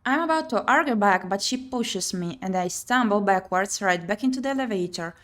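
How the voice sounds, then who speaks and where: A woman's voice: mid-range voice, animated, fairly quick, fairly bright tone. A woman streamer reading a visual novel aloud in first person and musing over the gameplay. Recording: music under the voice. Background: game music.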